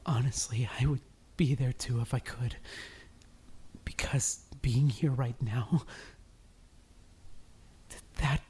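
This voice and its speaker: A woman voicing a distraught, defensive teenage boy in an animated drama, stammering and emotionally raw. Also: a bass voice, takes it slowly, pauses often, husky, full character voice. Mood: shaky, subdued. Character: distraught, defensive teenage boy, guilt-ridden and foul-mouthed. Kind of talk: dramatic voice acting in a fan-made animation.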